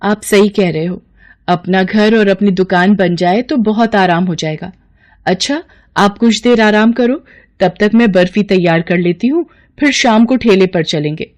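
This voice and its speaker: A woman voicing a devoted, gently encouraging wife in a cartoon story. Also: mid-range voice, unhurried, close to an everyday voice. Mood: affectionate. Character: devoted, encouraging wife who makes sweets.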